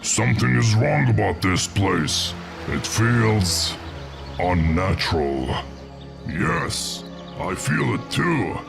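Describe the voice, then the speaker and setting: A man playing a vengeful orc warrior commander in a fantasy game, speaking in a grave, deliberate manner. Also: fairly low pitch, big pitch swings, gravelly, music in the background, heavily acted. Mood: grave, wary.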